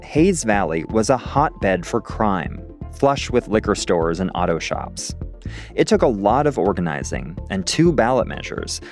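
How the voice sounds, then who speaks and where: A man's voice: lowish voice, darkish tone. A male reporter narrating a scripted radio feature, partly recorded outdoors in a city park.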